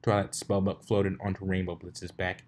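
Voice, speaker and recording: Low-voiced man reading a story aloud. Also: little pitch movement, normal speed, slight background noise. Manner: stumbles and rereads phrases. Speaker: fanfiction reader and narrator. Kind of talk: story narration, read aloud.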